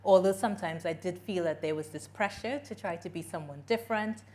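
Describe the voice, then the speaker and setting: Trinidadian woman, middle-aged, lowish voice, earnest conversational Q&A answer. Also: brisk, darkish tone. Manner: earnest, conversational, with frequent "you know" and restarts. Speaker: invited speaker answering audience questions. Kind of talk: conference Q&A answer.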